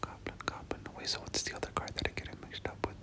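A person doing whispered ASMR game commentary, speaking casually in gamer slang and often repeating short phrases. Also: fast talker. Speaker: gameplay commentator.

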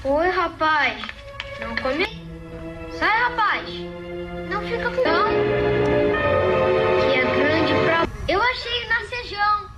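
A woman voicing an eager, talkative young boy scout in an animated film dub. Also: extremely high pitch, slow pace, long pauses, full character voice. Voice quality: excitable and talkative. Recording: distant voice over loud music.

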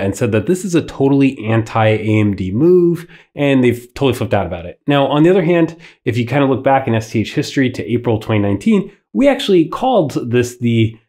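A man in his thirties hosts a tech show while fighting a cold, so his voice comes out nasal and stuffy. He explains things to camera in a brisk, scripted way, his pitch bouncing around with lively emphasis. He records in a small indoor studio. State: sick with a head cold. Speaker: tech channel host.

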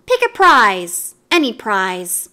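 A woman playing a booming, brash carnival barker man. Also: big pitch swings, measured pace, a bit bright, heavily acted. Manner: booming, hawking. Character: loud male carnival barker, brash and busy.

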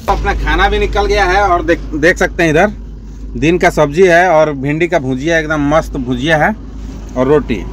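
A man in his forties, a truck driver, chatting to viewers as he films himself on a phone held at arm's length, outdoors by a highway and in his truck cab. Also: normal speed. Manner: chatty, addresses viewers as friends. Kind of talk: casual vlog narration. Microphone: phone camera at arm's length. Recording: loud traffic and road noise. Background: vehicles and traffic.

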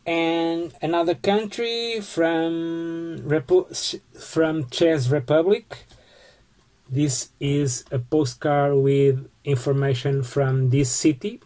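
A man in his forties with a Portuguese accent, showing his postcards on a webcam in a book-lined home study, slow and halting with frequent restarts. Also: a little high, steady tone, mellow. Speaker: postcard collecting vlogger. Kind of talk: casual show-and-tell vlog.

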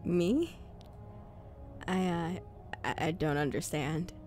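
A woman's voice: lowish voice, takes it slowly, a little mellow. A woman acting in an audio drama as a composed, guarded but curious journalist with a dry, understated manner. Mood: vulnerable, puzzled. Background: music.

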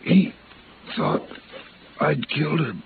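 An actor playing a desperate, wounded man in a radio drama, gasping weakly and halting between words. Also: a little high, expressive, gravelly, exaggerated voice. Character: stabbed, desperate man in love, barely able to speak. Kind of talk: 1950s radio drama acting. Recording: tinny, hissy old radio recording.

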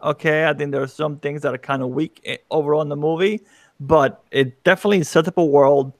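A man's voice: fairly high pitch, steady tone, fairly quick, no room sound, studio quality. A middle-aged man co-hosting a casual movie-ranking chat. He gives firm opinions and steers the talk from one pick to the next. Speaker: co-host. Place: room at home with screen panels. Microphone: large studio mic with headphones.

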